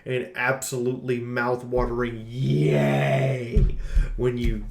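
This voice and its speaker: A man's voice: medium pitch, level tone, takes it slowly, gravelly. A man giving an unscripted game review in an informal, emphatic way, as a channel host talking to viewers. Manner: informal, emphatic, occasional swearing. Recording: roomy, echoing room sound.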